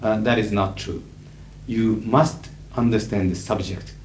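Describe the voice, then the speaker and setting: A middle-aged man with a Japanese accent, a native Japanese speaker, lecturing as an interpreter in a measured, emphatic way with frequent fillers. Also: fairly low pitch, steady tone, darkish tone. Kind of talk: lecture to an audience. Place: lecture hall. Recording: echoey 1980s hall recording with faint hiss.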